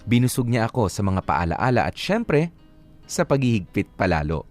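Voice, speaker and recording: A man's voice: fairly low pitch, sing-song, moderate pace, darkish tone. A man reading a scripted first-person life story as a radio narrator. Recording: guitar music under the voice.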